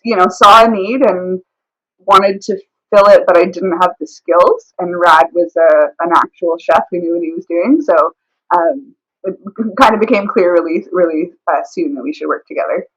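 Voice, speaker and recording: A woman being interviewed as a business owner, chatting casually and pausing often to think. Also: on the low side, a bit bright, poor audio. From born in Thailand, raised travelling the world. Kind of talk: casual chat.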